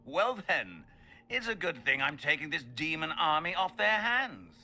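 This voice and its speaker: A man playing a pompous, gloating mage villain of a dark cult in a fantasy game. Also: a little high, big pitch swings, measured pace, full character voice. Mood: smug, boastful. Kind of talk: fantasy game dialogue acting.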